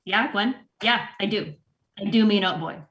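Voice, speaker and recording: A woman's voice: medium pitch, normal speed, highs cut off, natural voice. A woman playing a disorganized, exasperated female store manager in a comedy table read. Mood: deadpan. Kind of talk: virtual table read of a sitcom script.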